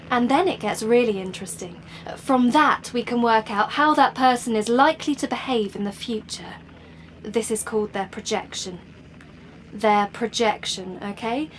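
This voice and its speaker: An actress playing a coolly assured woman official in an audio play, lecturing in a deliberate, instructive way. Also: on the high side, slight background noise, natural voice, poor audio. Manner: instructive, deliberate, checking listeners follow.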